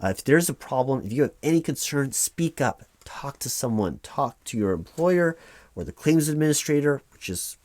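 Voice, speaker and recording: A man doing a spoken ASMR office roleplay as a claims administrator, reading forms aloud and explaining them in a halting, stammering, reassuringly repetitive way. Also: mid-range voice, lively intonation, a little mellow, no room sound.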